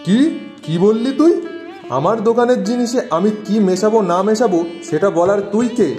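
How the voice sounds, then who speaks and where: A man's voice: sits high, normal speed, a little mellow, faint music behind, natural voice. A man voicing a greedy, hot-tempered dishonest village shopkeeper in a cartoon, with a belligerent, scornful delivery. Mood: angry, defiant. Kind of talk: cartoon voice acting.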